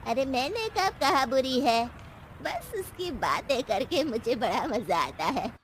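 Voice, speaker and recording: High-pitched, feisty old mother-in-law, acted by a woman. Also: very animated, measured pace, a little hiss or hum. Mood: playful, wry. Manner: brash, scolding, sarcastic. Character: bold, feisty, teasing elderly Indian mother-in-law. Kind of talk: children's story cartoon acting.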